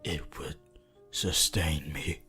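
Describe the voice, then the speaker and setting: A man playing a weakened, bloodthirsty vampire in an ASMR roleplay, pleading and menacing in a theatrical, archaic way, with a husky voice that often drops to a whisper. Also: a bass voice, fairly even intonation, bright, forceful tone, soft background music, full character voice. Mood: weak pleading. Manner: slow, halting, archaic and dramatic delivery.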